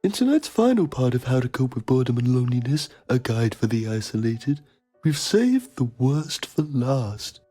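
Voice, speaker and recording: Guy doing a self-important mockumentary reporter, mellow breathy voice, solemn and deadpan, music behind. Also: mid-range voice, normal speed. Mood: grave, deadpan. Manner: pompous, deadpan. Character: self-important male TV documentary journalist, solemn and pompous. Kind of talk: mock documentary narration.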